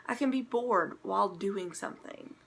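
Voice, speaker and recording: A young woman talks straight to camera from a bedroom at home, her voice stuffy and congested. The tone is bright with a gravelly fry underneath. She rambles in a chatty, casual way, filling gaps with ums. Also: mid-range voice, moderate pace. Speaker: vlogger. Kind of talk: casual talking-to-camera vlog.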